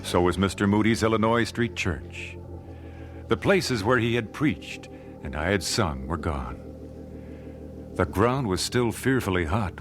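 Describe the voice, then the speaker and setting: An actor playing an earnest nineteenth-century American gospel singer in a radio drama, speaking in a measured, solemn, dramatic way. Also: male voice, on the low side. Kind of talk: radio drama narration and dialogue.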